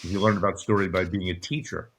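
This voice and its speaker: Older male guest, slightly low voice, conversational, halting reminiscence on an online call. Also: fairly even intonation, a bit bright, a little hiss or hum.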